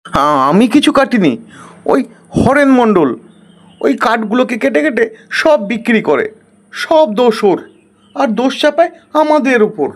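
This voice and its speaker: A man voicing a humble, earnest poor village farmer with an expressive, pleading manner in a moral story cartoon. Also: very high voice, unhurried, pauses often, exaggerated voice.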